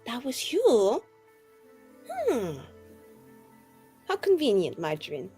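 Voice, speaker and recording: A woman's voice: a little high, huge pitch swings, takes it slowly, warm tone, husky, soft background music. A woman playing a tabletop roleplaying game, bantering casually in character with dry sarcasm.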